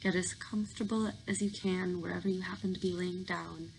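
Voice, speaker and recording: A woman leading a guided sleep meditation in a soothing, informal, reassuring manner, with a breathy voice that sometimes drops toward a whisper. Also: on the low side, steady tone, fairly quick, rough recording. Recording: clear background noise.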